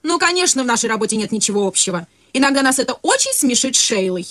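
High, naive, chatty young secretary. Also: female voice, very animated, fast, a bit bright, no room sound, studio quality. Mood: earnest, chatty. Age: young adult. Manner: rambling, prim, earnest. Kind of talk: radio play comedy acting.

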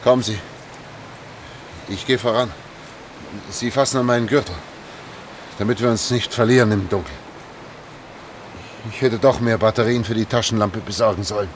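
A man's voice: fairly low pitch, steady tone, normal speed, long pauses, a little hiss or hum, natural voice. An actor playing a tense, impatient man leading an escape in a German radio play, urgent and commanding. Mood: resolute, subdued.

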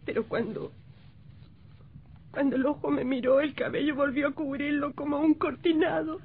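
An actress playing a frightened, insistent young wife in an old radio horror drama, anguished and breathless. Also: female voice, high-pitched, fairly even intonation, moderate pace. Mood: haunted, uneasy. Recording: muffled, thin old radio recording.

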